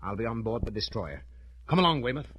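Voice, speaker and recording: An actor playing a confident, decisive investigator leading a manhunt in a 1930s radio drama, clipped and authoritative. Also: male voice, medium pitch, sing-song, fast talker, natural voice. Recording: noisy old radio transcription.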